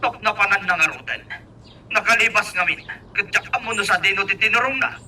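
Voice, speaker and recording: Male actor as defensive fellow, high sharp voice, off-mic with faint noise, radio drama. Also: fairly quick, heavily acted. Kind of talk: Ilocano radio drama acting. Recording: distant mic with faint noisy ambience.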